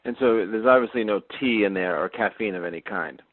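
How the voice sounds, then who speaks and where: A man's voice: fairly low pitch, a little fast, no echo. A man interviewing a guest and asking curious follow-up questions. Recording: dry phone-like line.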